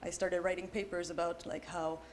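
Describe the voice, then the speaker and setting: A young woman on a panel, talking in an animated, casual way with many fillers. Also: on the low side, fairly quick. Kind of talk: panel discussion. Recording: faint background noise.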